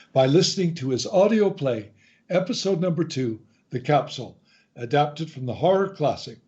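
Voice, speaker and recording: Mellow male radio host, dull sound. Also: mid-range voice. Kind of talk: scripted show introduction.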